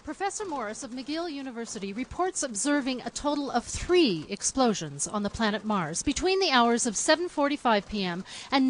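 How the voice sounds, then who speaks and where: A woman playing a formal, urgent 1930s radio news announcer with a measured, declarative delivery. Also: a little high, natural voice, rough recording. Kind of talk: 1930s radio drama newsreading.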